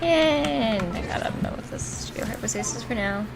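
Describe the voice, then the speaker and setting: A woman's voice: on the high side, animated, moderate pace, gravelly. A woman game streamer chatting casually and swearing often as she plays. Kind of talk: casual chat while streaming a video game. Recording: music in the background. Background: music.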